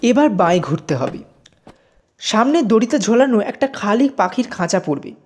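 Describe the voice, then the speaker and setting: A woman narrating a scripted audio story in an expressive storytelling manner. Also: fairly high pitch, normal speed, a little hiss or hum.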